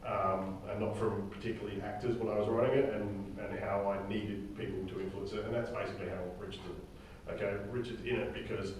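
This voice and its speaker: A man with a fairly low voice leads an informal briefing for a group of actors. He speaks haltingly and checks in often. He is picked up from far off in a very reverberant room with faint noise behind him. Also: level tone, darkish tone. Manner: halting, often tags sentences with "okay?". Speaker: director and writer leading a drama table read. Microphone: distant room microphone. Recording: very echoey room, distant mic, faint noise.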